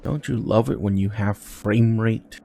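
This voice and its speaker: A man's voice: lowish voice, fairly even intonation, unhurried, vocal fry, mellow, slight background noise. A man giving casual commentary while he plays a video game. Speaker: gaming channel host. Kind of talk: casual gameplay commentary, reading game dialogue aloud. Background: faint game music.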